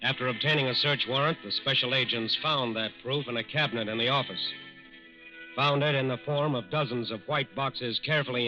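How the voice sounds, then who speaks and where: 1940s crime-show narrator man over music. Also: medium pitch. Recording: muffled 1940s radio recording.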